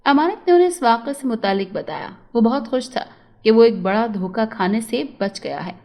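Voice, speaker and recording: A woman with a high voice works as a storyteller. She delivers scripted narration of a moral tale. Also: lively intonation, moderate pace, dark tone.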